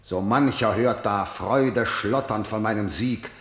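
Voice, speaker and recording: Stern commanding tyrant in a verse radio play, slow and bright-toned, in a reverberant hall. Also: male voice, on the low side. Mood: threatening. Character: harsh, stern male tyrant ruling a city. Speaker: ruler of the city. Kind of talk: classical verse radio drama.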